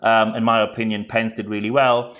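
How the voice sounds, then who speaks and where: A man's voice: fairly low pitch, flat delivery, brisk, narrow, tinny audio. A man with a German accent, speaking English as a second language, hosting a podcast and giving direct, earnest commentary with frequent repeated words. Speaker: podcast host.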